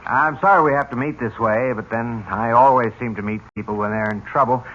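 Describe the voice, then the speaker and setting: An actor in an old-time radio drama playing a polite, measured police lieutenant with a courteous, probing manner. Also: male voice, medium pitch, steady tone, natural voice. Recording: dull old radio recording, faint noise.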